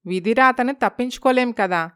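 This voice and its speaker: Consoling motherly neighbour acted by a woman, storybook cartoon, concerned, crisp, dry sound. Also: a little high, normal speed, dry recording, lightly acted.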